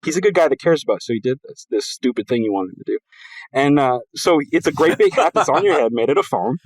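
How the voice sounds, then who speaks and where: A man's voice: medium pitch, expressive, fast talker, no echo, poor audio. Wisecracking man, mock-formal delivery. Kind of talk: casual chat.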